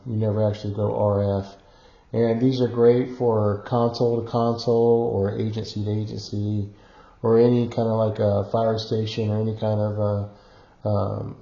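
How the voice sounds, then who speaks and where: A man with a fairly low, very even voice talks through a hands-on technical demo without a script, feeling his way haltingly from phrase to phrase. The sound is noticeably roomy. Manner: halting, hesitant, improvised explaining. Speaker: electronics hobbyist demonstrating equipment. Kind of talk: unscripted technical demonstration. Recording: roomy echo, dull muffled sound.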